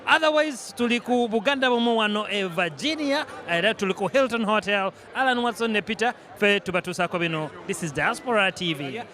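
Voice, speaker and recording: A high-pitched man conducts quick interviews outdoors at an event. He talks fast, with brisk, teasing questions. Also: animated, sharp tone.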